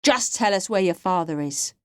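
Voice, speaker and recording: A woman's voice: on the low side, animated, moderate pace, clean tone, dry recording, studio quality, natural voice. A woman voicing a bullying, businesslike male kidnapper with blunt, controlled threats in an audiobook. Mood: businesslike menace. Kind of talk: audiobook character voice.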